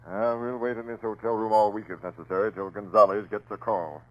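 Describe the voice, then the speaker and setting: An actor playing a methodical, commanding police captain, giving clipped orders in 1940s radio crime drama style. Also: male voice, lowish voice, flat delivery, brisk, muffled recording, natural voice. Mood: confident. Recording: noisy 1940s radio broadcast recording.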